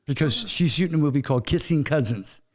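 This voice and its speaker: A man's voice: a little high, fast talker, a little mellow. A man in his fifties, a guest in a casual interview, telling long rambling stories and often checking in with "you know what I mean?".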